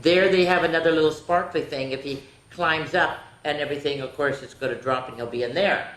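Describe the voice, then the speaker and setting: A woman's voice: low-pitched, fairly quick, fairly bright tone. A woman hosting a children's storytime, reading picture books aloud with playful, chatty asides to her young listeners. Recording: echoey, roomy sound.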